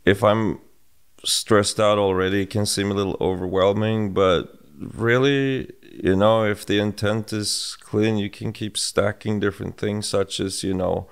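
Swedish man, low gravelly calm voice. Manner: reflective, halting with restarts. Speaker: podcast co-host. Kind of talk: casual conversation. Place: home studio room with bookshelves. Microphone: boom-mounted studio mic.

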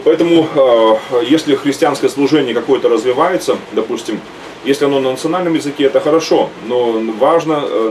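Halting middle-aged man teaching, distant mic. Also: mid-range voice, a little mellow. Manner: hesitant, many drawn-out "uh" fillers. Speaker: archbishop. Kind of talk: teaching seminar. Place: small room at home. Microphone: webcam at desk. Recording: distant mic, faint room noise.

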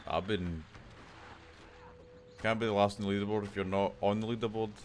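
A man's voice: fairly low pitch, steady tone, fairly quick, a little hiss or hum. Self-mocking young man streaming a game. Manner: self-mocking, chatty, laughs often. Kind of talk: casual live commentary over gameplay. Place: home room. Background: game music.

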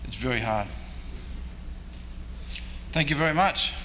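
A man's voice: medium pitch, lively intonation, measured pace, pushed and bright. A middle-aged man, a modest historian answering questions after a talk, repeating himself as he goes. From Australia. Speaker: historian and archivist giving a talk. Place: hotel conference room. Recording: echoey hall with faint noise.

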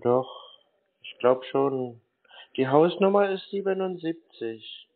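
A man's voice: a little high, animated, takes it slowly. A man in a confused-sounding phone conversation, naive and hesitant, stalling as he talks. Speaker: prank caller answering phone scammers. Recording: tinny narrowband phone line.

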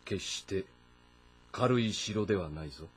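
A man playing a proud, brooding middle-aged warlord in a historical game drama, speaking with deliberate authority. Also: deep voice, lively intonation, a little hiss or hum. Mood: stern. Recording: voice over background music.

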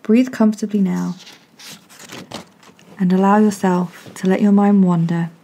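A woman softly and gently guiding a meditation in a calming, breathy voice with long pauses. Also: medium pitch. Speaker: meditation guide. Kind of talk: guided meditation, soothing scripted narration.

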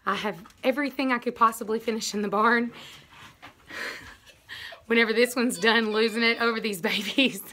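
A woman's voice: on the high side, fairly even intonation, normal speed, long pauses, bright, forceful tone, a little hiss or hum. A woman chatting casually while doing farm chores, rambling and laughing often, recorded outdoors and in a barn on a handheld phone. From Tennessee, USA. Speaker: homestead vlogger.

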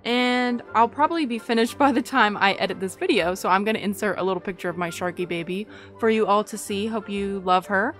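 A woman's voice: medium pitch, a little fast, few pauses, fairly bright tone, soft background music. A young woman hosting a gaming video, chatting casually over gameplay.

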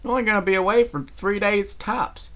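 A man's voice: high voice, brisk, sharp tone, voice pushed up. A man voicing a plucky, no-nonsense female earth pony with a put-on rural Southern drawl and a folksy, blunt manner. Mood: impatient.

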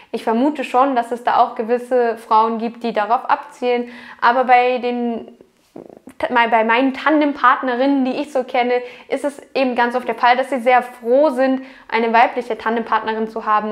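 A young woman talking straight to camera in an advice vlog, chatty and earnest, addressing her viewers directly. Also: a little high. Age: young adult. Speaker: vlogger. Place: small indoor room at home.

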